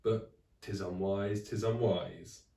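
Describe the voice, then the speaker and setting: A man voicing an eccentric, absent-minded, excitable adventurer in a dramatic storybook style. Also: lowish voice, takes it slowly, gravelly, far from the mic. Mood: grave warning. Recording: roomy echo, away from mic.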